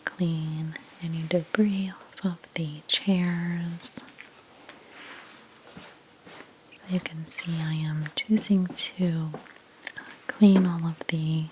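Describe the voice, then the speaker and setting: Slow, breathy, near-whispering ASMR woman. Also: fairly low pitch, background noise. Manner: gentle, step-by-step explaining. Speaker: ASMR creator playing a house cleaner. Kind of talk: soft-spoken ASMR roleplay narration.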